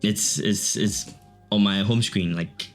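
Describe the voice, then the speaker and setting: A man's voice: on the low side, fairly even intonation, takes it slowly, soft background music. A young man with a Ghanaian accent, chatting easily as an interview guest and laughing often. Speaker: guest, digital artist. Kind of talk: casual interview chat.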